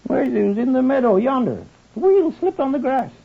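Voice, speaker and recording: An actor playing a garrulous, simple country handyman with a rural English accent and a husky voice, in an old radio drama. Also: very high voice, big pitch swings, a little fast, exaggerated voice. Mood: chatty. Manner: rambling, chuckling, deferential. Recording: tinny, band-limited old radio recording.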